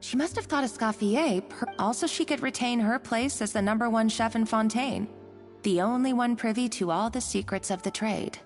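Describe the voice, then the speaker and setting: An analytical young woman voiced by an actress with a fairly high voice, earnest and explanatory in game dialogue. The sound has long reverb and faint music. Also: normal speed, natural voice. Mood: thoughtful. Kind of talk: animated video game voice acting.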